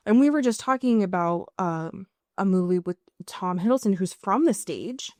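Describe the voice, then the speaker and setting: A woman's voice: mid-range voice, animated, normal speed, warm tone, no echo, studio quality. A woman co-hosting a podcast in casual, conversational chat. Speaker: podcast co-host.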